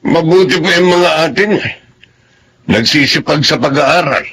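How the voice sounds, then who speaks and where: An actor playing a calm, sympathetic middle-aged brother in a Filipino radio drama. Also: male voice, on the high side, a little slow, husky, exaggerated voice.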